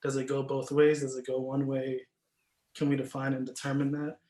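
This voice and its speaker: Male, medium pitch, flat intonation, casual questioning delivery, very roomy recording. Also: moderate pace. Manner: questioning, informal, hedging. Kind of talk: casual panel discussion. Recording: echoey, reverberant room sound.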